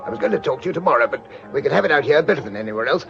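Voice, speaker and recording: An actor playing an earnest, blunt upper-class British gentleman who questions and accuses insistently in a radio drama. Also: on the high side, lively intonation, rapid-fire, vocal fry, over music. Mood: brisk, demanding. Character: earnest, pressing English gentleman, accusing his friend. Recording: dull lo-fi radio drama recording.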